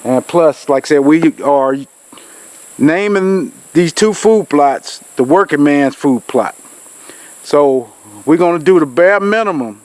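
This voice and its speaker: A somewhat high-voiced man, nasal from a cold, talks casually outdoors in a rural field. His talk is rambling and folksy, full of "uh" and "so," as he speaks to a camera set on a tripod. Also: smooth, no echo, poor audio. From Georgia, US. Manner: rambling, folksy, with many fillers. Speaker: outdoors channel host.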